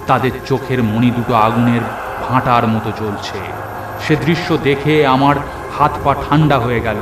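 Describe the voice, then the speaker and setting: A man's voice: medium pitch, background noise. Echoey male horror story narrator. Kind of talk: first-person dramatic horror storytelling. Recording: echoey narration over music and rain.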